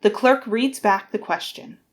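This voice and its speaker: A woman's voice: a little high, a little slow. A woman giving formal scripted narration for a dramatic reading of a trial. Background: music.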